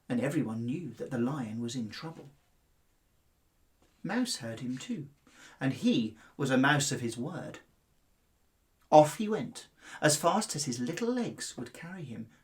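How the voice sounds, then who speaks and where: A middle-aged man with a British accent, speaking as a headmaster to children in an expressive, carefully phrased storytelling style. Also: mid-range voice. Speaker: school headmaster reading to pupils.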